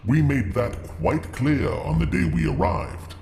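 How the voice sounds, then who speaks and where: A man playing a stern alien conqueror in an audio drama, formal and commanding, with a gravelly voice. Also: on the high side, lively intonation, unhurried, a little mellow, a little hiss or hum. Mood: stern, threatening. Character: stern imperious alien conqueror, rigid about discipline.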